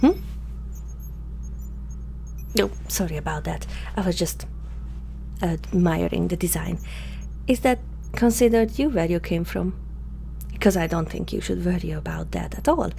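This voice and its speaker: A woman plays a curious female alien scientist in an intimate ASMR roleplay, addressing the listener directly. Her voice is mellow and on the low side, with halting, awkward phrasing and soft delivery. A faint hum sits behind her. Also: animated, gravelly, full character voice. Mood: curious, admiring. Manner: hesitant, halting, softly spoken, sometimes whispering. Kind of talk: ASMR roleplay, one-sided dialogue to the listener. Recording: faint background hum and noise.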